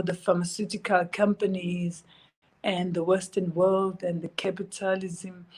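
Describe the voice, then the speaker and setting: A woman's voice: mid-range voice, darkish tone, poor audio. A woman with a South African accent, recovering from illness and giving earnest, repeated, pleading advice as an interview guest. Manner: urgent, pleading advice with repetition. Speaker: guest sharing her recovery story. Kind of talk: earnest personal testimony.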